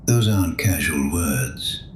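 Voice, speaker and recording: An actor playing an elderly male priest in an audio drama, calm, gentle and earnest as he hears confession. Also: rumbling low, slow pace, reverberant, a little hiss or hum, full character voice. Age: older.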